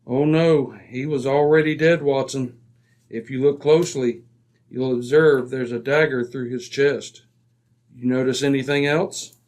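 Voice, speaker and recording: Male actor as composed British sleuth, brisk, exact, self-assured, classic radio mystery style. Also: mid-range voice, fairly even intonation, darkish tone, close to an everyday voice. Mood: brisk, intent. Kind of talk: old-time radio mystery acting.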